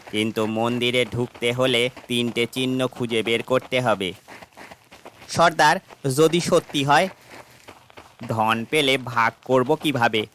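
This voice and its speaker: A man's voice: medium pitch, a bit bright, a little hiss or hum, natural voice. A man voicing a sly young captive in a cartoon fairy tale, crafty and persuasive as he spins tales. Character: sly young male captive spinning tales to sow distrust.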